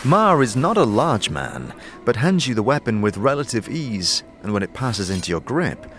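A man's voice: medium pitch, big pitch swings, moderate pace, a bit bright, faint music behind. A man reading scripted fantasy narration as the storyteller of an audio drama, addressing the listener as you.

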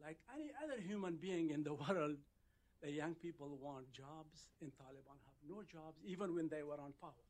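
A man from Afghanistan stands up at a press briefing to ask a question. He speaks in a fairly high register and pauses often with hesitant fillers as he builds his point. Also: a little mellow. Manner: halting, with frequent "uh" pauses. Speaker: reporter asking a question.

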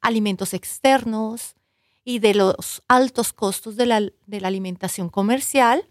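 A woman's voice: medium pitch, measured pace, no room sound, clean studio sound. A Colombian woman, a university professor and researcher, answering interview questions in an earnest, explanatory way with occasional hesitations.

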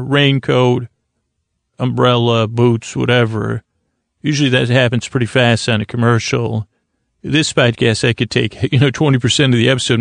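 A man hosting a bedtime podcast in a creaky, lulling voice, meandering and halting as he blathers soothingly. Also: medium pitch, no echo, clean studio sound. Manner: meandering, halting, repeats himself. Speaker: host of a bedtime story podcast. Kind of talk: rambling bedtime monologue, soothing.

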